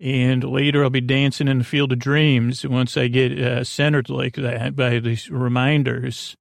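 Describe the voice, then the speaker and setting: A man hosting a sleep podcast with a meandering, goofy, halting monologue that drifts into tangents. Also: medium pitch, little pitch movement, creaky, dry recording, studio quality. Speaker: podcast host. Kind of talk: rambling bedtime monologue introducing a sleep podcast.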